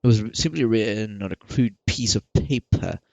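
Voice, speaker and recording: A man with a lowish, creaky voice giving casual gaming commentary. He rambles and halts, circling back over his words, in a dry close recording. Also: no room sound. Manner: rambling, halting, repeats himself. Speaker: gaming video creator. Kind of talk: casual let's play commentary.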